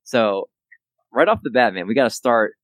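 A man's voice: mid-range voice, lively intonation, moderate pace, fairly bright tone, dry recording. A man hosting a casual podcast interview, asking questions in an easygoing, upbeat way. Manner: upbeat hype intro, then casual questions. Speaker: podcast host.

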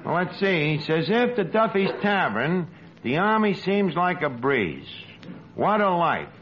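Slangy New York tavern manager, high swooping voice, wisecracking old-radio comedy delivery. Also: male voice, sing-song, unhurried. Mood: wry. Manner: slangy, malapropisms, hesitant asides. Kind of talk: 1940s radio comedy acting. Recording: muffled noisy old radio recording, live audience.

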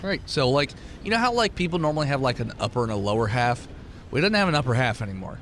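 A man's voice: mid-range voice, very animated, fast, a bit bright, a little hiss or hum. A man acting as game master, casually improvising combat descriptions and joking with his players. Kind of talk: casual improvised tabletop game narration and banter.

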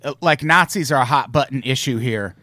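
A man's voice: mid-range voice, brisk, sharp tone, dry recording. A man hosting a casual comedy chat, bringing up stories and reading out quotes. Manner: leads topics, reads out quotes. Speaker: podcast host reading news stories.

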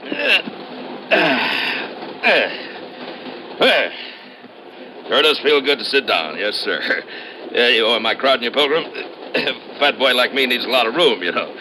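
A man's voice: very animated, slow, long pauses. A man playing a jovial, folksy fat traveller who rambles and hesitates, in old-time radio drama style. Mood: jovial, rambling. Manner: rambling, hesitant, chuckling, folksy country talk. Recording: noisy old radio recording with vehicle rumble.

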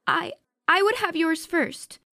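A frightened young woman, put on by a man reading a storybook, stammering and pleading. Her voice sits very high with a rough, gravelly edge. The sound is dry and close. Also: steady tone, normal speed, fairly bright tone, dry recording, heavily acted.

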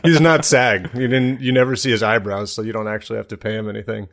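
A man's voice: fairly low pitch, fairly even intonation, fast talker, vocal fry, fairly bright tone. A man hosting a podcast in casual comedic chat, with a rambling, joking way of talking. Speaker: podcast host.

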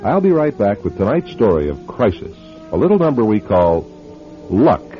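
A man narrates as host of a radio mystery, theatrical and wry. His voice is fairly low and moves widely in pitch. Music plays clearly behind him on a slightly muffled recording. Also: big pitch swings, normal speed. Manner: wry, suspenseful storyteller. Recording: dull lo-fi broadcast with music behind.

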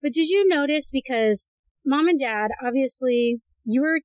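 Host, woman, 40s, animated storyteller, slightly high voice, dry close sound. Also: normal speed, dry recording. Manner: animated storyteller, acts out quotes. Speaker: podcast host. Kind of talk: casual chat.

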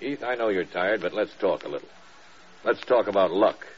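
An actor playing a stern, probing American air force general in a radio drama, measured and authoritative. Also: male voice, deep voice, slight background noise, natural voice. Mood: firm, reasoning. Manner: measured, authoritative, pointed questioning. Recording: dull lo-fi old radio recording.

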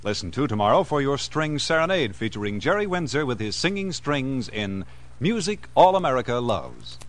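A man's voice: mid-range voice, big pitch swings, moderate pace. A man announcing in a formal, scripted, polished 1940s radio style.

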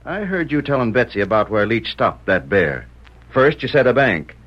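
Blustery frontier sheriff, swooping delivery. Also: male voice, mid-range voice, very animated, moderate pace. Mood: accusing. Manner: drawling, folksy cowboy dialect, blustery. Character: gruff, suspicious Old West sheriff, stern father. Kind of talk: vintage radio western acting. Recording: muffled old radio recording.

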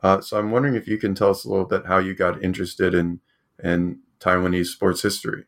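A man's voice: low voice, level tone, fast talker. A man hosting an academic book podcast from home, talking hesitantly with many fillers. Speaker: podcast host.

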